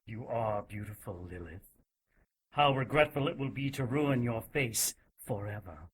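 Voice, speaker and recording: A man's voice: on the low side, animated, measured pace, noisy background. An actor playing a cruel, zealous religious inquisitor in an audio drama, menacing and mock-polite as he coldly gloats. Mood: cold menace.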